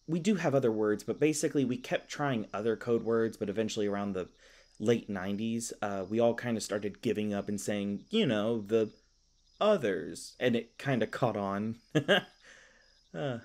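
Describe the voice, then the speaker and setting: Casting: male actor for kindly elf park ranger, lowish voice, stammering, self-deprecating, casual fantasy roleplay delivery. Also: normal speed, darkish tone, lightly acted. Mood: chatty, sheepish. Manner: rambling, stammering, apologetic, self-deprecating humor. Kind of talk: fantasy audio roleplay, casual conversational acting.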